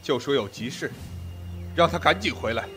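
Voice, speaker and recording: An actor playing a proud, commanding young sect master in a Chinese period audio drama, imperious and intense. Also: male voice, medium pitch, expressive, moderate pace, bright, forceful tone, faint music behind. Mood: haughty, commanding.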